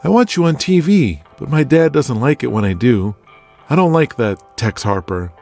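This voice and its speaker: A man's voice: mid-range voice, animated, moderate pace, gravelly, a little mellow, soft background music, voice pushed up. A man voicing a shy, starstruck twelve-year-old boy who loves wrestling, in an audio drama.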